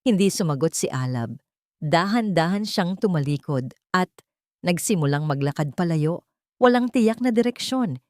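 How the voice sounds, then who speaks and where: A woman with a Filipino accent reading a dramatic romance story in a measured, solemn way. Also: on the low side, a little mellow, no echo, studio quality. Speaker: story narrator.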